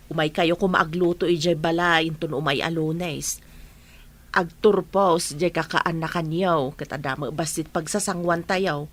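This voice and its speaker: A woman's voice: low voice, steady tone, a little slow. A woman playing a sociable village sister-in-law in an Ilocano radio drama. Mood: chatty.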